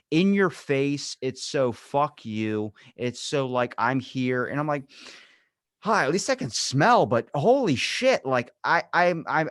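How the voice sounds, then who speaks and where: A man's voice: mid-range voice, a bit bright, no echo, studio quality. A man hosting a podcast in casual chat, rambling from thought to thought and swearing freely. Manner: rambling, profane, uses quoted asides. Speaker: podcast host.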